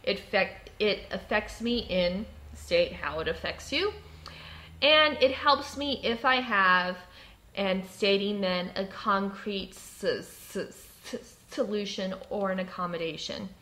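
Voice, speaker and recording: A woman who stutters, with blocks and repeated sounds, giving an explanatory advice talk in a composed, frank and deliberate way. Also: mid-range voice, pushed and bright. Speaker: presenter giving workplace advice. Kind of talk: explanatory talk with personal examples.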